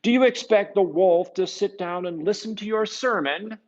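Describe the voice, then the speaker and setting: A man's voice: high voice, moderate pace, dull audio, voice pushed up, lightly acted. A man voicing an alarmed, imperious countess in a children's storybook, exclaiming and commanding. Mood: indignant. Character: alarmed, imperious Italian countess of medieval times.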